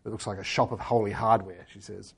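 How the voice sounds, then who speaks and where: A man giving an earnest church talk, hoarse today and often trailing off quietly at the ends of sentences. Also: fairly low pitch, fairly even intonation, quick pace. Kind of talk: talk with book reading.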